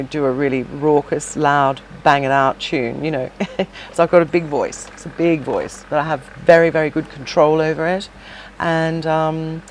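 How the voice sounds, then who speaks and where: A woman's voice: low voice, a little hiss or hum, poor audio. A middle-aged woman, a former singer, chatting casually in an interview, with many fillers and restarts. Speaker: podcast guest, shop owner and former singer.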